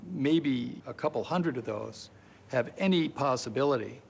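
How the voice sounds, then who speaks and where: A man talking in a measured, explanatory way in a documentary interview. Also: medium pitch, animated, creaky, breathy edge.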